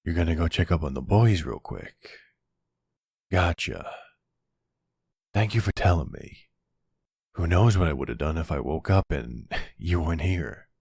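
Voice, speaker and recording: Man acting a low, husky, tender mafia boyfriend. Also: lively intonation, no room sound, clean studio sound, heavily acted. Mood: affectionate, relaxed. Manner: gentle, slow, affectionate. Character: tender, devoted older mafia boss boyfriend, silver fox. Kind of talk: intimate ASMR boyfriend roleplay acting.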